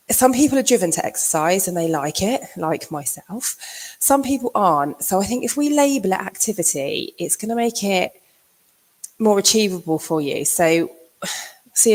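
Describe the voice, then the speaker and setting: A middle-aged woman, husky from a cold, giving a chatty live-streamed talk as a fitness coach, with lots of ums. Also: on the low side, low-quality recording. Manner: chatty, fills pauses with um. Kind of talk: casual livestream talk.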